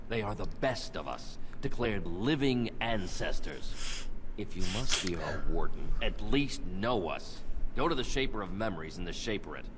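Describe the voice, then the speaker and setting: An actor playing a gruff, wary dwarf guardsman in a video game, speaking in a curt, stern manner. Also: low voice. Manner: curt, stern, clipped phrasing. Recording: game dialogue under background music.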